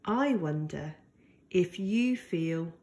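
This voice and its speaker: A woman reading a picture book aloud to young children in a gentle, deliberate and expressive way. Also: fairly low pitch.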